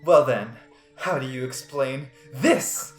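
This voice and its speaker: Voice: medium pitch, animated, slow pace. Casting: amateur playing a suspicious young woman interrogator, story reading, roomy echo, faint music. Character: accusatory, scheming young woman interrogating a suspect.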